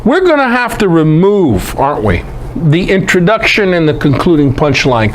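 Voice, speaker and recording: Animated, high-pitched man giving a lecture. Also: slight background noise. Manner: emphatic, conversational, rhetorical questions and folksy asides. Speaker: presenter and Bible teacher. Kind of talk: animated lecture on scripture scholarship.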